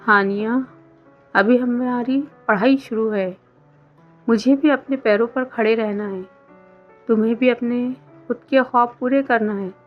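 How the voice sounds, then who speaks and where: Woman voicing a slippery young college suitor, high-pitched. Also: steady tone, a little slow, lightly acted. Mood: evasive. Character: evasive young male college lover, smooth-talking, impatient. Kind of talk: scripted story dialogue. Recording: dull lo-fi sound under music.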